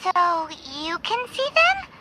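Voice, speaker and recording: A woman voicing a tiny, excitable, childlike female companion in a game, whining, exclaiming and speaking of herself by name. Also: very high voice, takes it slowly, full character voice. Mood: eager, curious. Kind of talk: animated game voice acting. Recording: music behind the voice.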